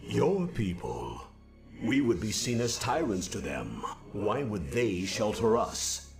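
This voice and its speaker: Casting: haughty alien ruler, mid-pitched, dramatic swings in intonation, formal and proud, sci-fi game with music. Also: male voice, huge pitch swings, slow, full character voice. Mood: doubtful. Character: proud, skeptical alien leader. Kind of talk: video game voice acting.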